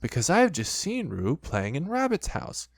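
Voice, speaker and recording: A calm earnest young boy, as a reader puts him on. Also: medium pitch, normal speed, no room sound, studio quality, voice pushed up. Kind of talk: storybook reading.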